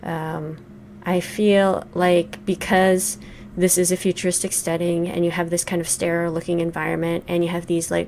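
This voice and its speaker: A woman's voice: fairly low pitch, a little mellow, a little hiss or hum. A woman in her forties giving casual, thoughtful commentary on a game she is playing, full of ums and likes. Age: 40s. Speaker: game reviewer. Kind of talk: casual let's play commentary.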